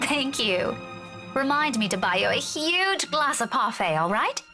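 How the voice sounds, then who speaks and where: A woman's voice: high-pitched, sing-song, unhurried, pushed and bright, exaggerated voice. A woman playing a kind young woman in a visual novel game, speaking politely and with composure. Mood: friendly. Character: kind young woman, gentle and patient with a child. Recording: music behind the voice.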